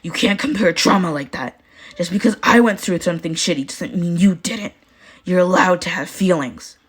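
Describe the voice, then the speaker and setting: Casting: prickly teen boy, read by a female narrator, blunt, grumbling, awkwardly caring, low-key audiobook delivery. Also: normal speed, breathy edge. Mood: blunt, irritated.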